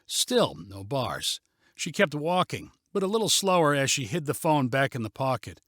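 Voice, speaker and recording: A man's voice: mid-range voice, big pitch swings, moderate pace, a bit bright, no room sound, clean studio sound. A man narrating a story in a scripted audiobook style.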